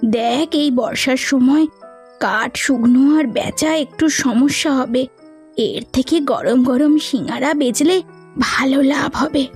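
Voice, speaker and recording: Gentle elderly village mum for a cartoon, high, unhurried female voice acted, earnest and encouraging. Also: faint music behind. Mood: concerned, advising. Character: gentle, caring old village mother. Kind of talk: cartoon dialogue.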